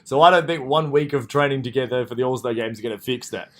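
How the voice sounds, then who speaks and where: A man's voice: medium pitch, fast, a bit bright. A man co-hosting a casual sports podcast, joking and laughing as he runs a draft between friends. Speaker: podcast co-host.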